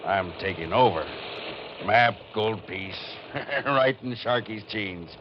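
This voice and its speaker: A man's voice: fairly low pitch, slow pace. An actor playing a menacing, sneering small-time crook in 1940s radio mystery style, talking in tough-guy slang. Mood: sneering menace. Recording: dull, noisy old 1940s radio recording.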